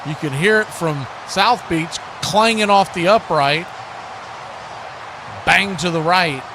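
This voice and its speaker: A man doing live play-by-play football commentary in a conversational way, mixing calls with his own opinions. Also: fairly high pitch. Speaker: play-by-play announcer for a fan watch-party stream. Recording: clearly noisy live stream audio.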